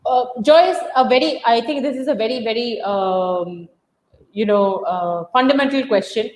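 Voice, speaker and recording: A woman host works through her questions hesitantly and pads them with fillers. Her voice is on the high side. It rings in a roomy, echoey space. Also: moderate pace, darkish tone. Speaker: interviewer, host. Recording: roomy echo.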